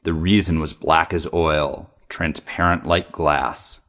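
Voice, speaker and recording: A man's voice: very deep voice, fairly even intonation, takes it slowly, fairly bright tone. A man reading poetry aloud in a recitation style. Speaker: poetry reader.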